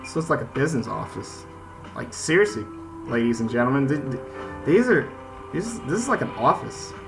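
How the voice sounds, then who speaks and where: Male gaming host, mid-pitched, informal read-aloud and reaction style, music underneath. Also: expressive, moderate pace, creaky, low-quality recording. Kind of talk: casual let's-play commentary, reading game text aloud.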